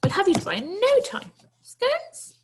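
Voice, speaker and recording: A woman reading a children's storybook, voicing a small old lady who is brisk, hospitable and gently scolding, with a chatty, questioning manner. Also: very high-pitched, huge pitch swings, background noise, voice pushed up, full character voice. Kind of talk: children's storybook read aloud.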